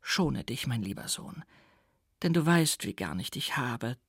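A man voicing a gentle, anxious older mother with silver hair, fussing tenderly in an audiobook. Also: mid-range voice, big pitch swings, a little slow, breathy edge, no room sound, clean studio sound, full character voice. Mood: tender worry. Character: tender, worried silver-haired mother of a grown son. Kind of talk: audiobook character voice.

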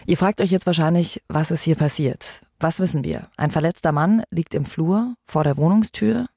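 Female podcast host, husky with vocal fry, conversational crime presenting, engages listeners with questions. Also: deep voice, moderate pace, dark tone, no room sound, studio quality.

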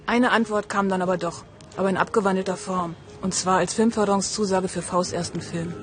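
A man with a high voice speaks scripted narration at a brisk clip. Music is clearly heard underneath. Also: steady tone.

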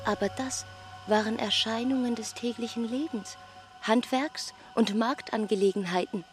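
An actress playing a coolly questioning, dismissive voice of officialdom in a literary radio drama. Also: female voice, fairly high pitch, normal speed, natural voice. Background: music.

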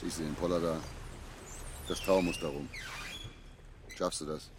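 Low-voiced, brooding old river skipper. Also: male voice, fairly even intonation, a little slow, background noise. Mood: gruff, kindly. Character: weathered male barge skipper, ex-crook, gruff but kindly. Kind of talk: German audio drama acting, storytelling.

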